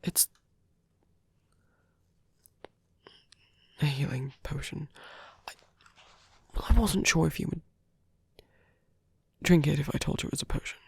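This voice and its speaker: A man playing a protective, gently teasing villain in an intimate ASMR roleplay, speaking in a soft, hesitant, breathy half-whisper. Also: on the high side, moderate pace, pauses often, no room sound, heavily acted. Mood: hesitant, softly teasing. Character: male villain, protective, gently teasing, rescuing a wounded rival.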